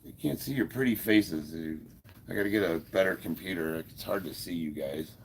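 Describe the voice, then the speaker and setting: A man in his fifties talks in a very low, gravelly voice that sounds a little stuffed up. He chats easily with viewers from his computer mic at home, chuckling and filling gaps with loose fillers. A faint hum of room noise sits behind him. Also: normal speed. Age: 50s. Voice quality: deep, gravelly voice. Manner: laid-back, chuckles often, many fillers. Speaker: livestream host. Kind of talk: casual livestream chat, reading viewer comments.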